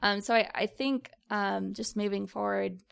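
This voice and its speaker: A woman's voice: mid-range voice, fairly even intonation, normal speed, gravelly, clear, resonant tone. A young woman, a student founder, answering interview questions in an upbeat way with many fillers. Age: young adult.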